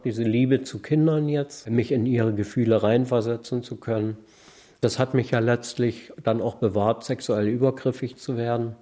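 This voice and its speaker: A man in his late fifties speaking calmly and reflectively in a documentary interview. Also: mid-range voice, normal speed. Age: 50s.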